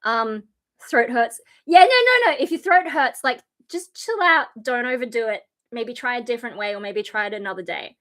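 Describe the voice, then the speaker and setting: A woman's voice: on the high side, lively intonation, moderate pace, a bit bright. A tired woman streamer and metal vocalist chatting casually, explaining screams with lots of fillers and harsh scream demonstrations. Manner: explains loosely with many fillers, breaks into harsh unvoiced scream demos. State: tired, voice worn to fry.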